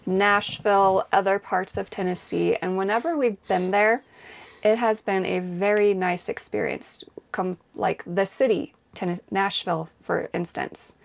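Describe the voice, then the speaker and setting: A woman's voice: mid-range voice, fairly even intonation, unhurried, creaky. A woman co-hosting a travel vlog, chatting casually and agreeably about life on the road. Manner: chatty, agreeable, many yeahs. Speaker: vlog co-host. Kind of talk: casual chat to camera.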